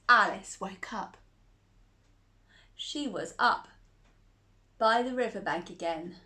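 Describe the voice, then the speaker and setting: A woman's voice: on the high side, pushed and bright. A woman reading a children's picture book aloud, chuckling often and asking the listener questions. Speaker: children's storyteller.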